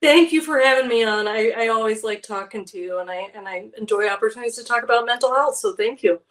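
A woman's voice: a little high, fast, a bit bright. A woman clinical psychologist answering interview questions earnestly, often repeating words as she starts a sentence.

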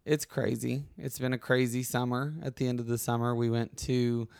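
Male podcast host, young adult, slightly hoarse, chatty and rambling, dry close mic. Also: mid-range voice, little pitch movement, normal speed, darkish tone, no echo. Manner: chatty, rambling, with frequent restarts. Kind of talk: casual conversational podcast chat.

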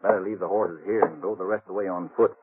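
An actor playing a gruff, wary and impatient Old West sheriff in a 1950s radio western, with blunt, folksy rustic speech. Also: male voice, low voice, steady tone, fast talker, phone-quality sound, lightly acted. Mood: wary, commanding. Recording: noisy, band-limited old radio recording.

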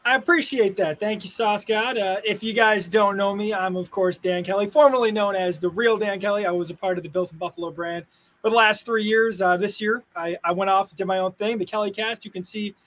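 A man guesting on a live sports talk show, his voice sitting high for a man. He comes across friendly yet slightly jittery, pausing and hesitating as he introduces himself. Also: steady tone, a bit bright. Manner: friendly, a little nervous and halting. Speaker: guest podcaster. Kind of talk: casual chat.

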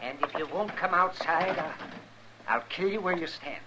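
A man's voice: high voice, moderate pace, lightly acted. Man playing a grim, stubborn western town doctor. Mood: menacing. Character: vengeful, stubborn male frontier town doctor. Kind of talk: 1950s radio western acting. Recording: noisy, distant-sounding old radio recording.

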